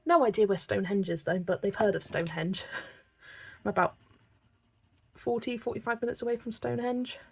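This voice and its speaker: A woman's voice: mid-range voice, normal speed, creaky, long pauses, slightly rough. A woman from England, chatting casually and reading viewer comments while she colours on a livestream. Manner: chatty, relaxed, thinking aloud with small laughs.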